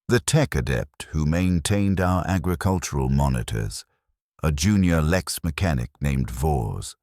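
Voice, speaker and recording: An extremely deep-voiced man narrates a grim science fiction tale in the first person. His delivery is slow and solemn, with measured, clinical phrasing. The sound is dry and close, with no room around it. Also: darkish tone, no echo. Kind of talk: scripted first-person sci-fi war story narration.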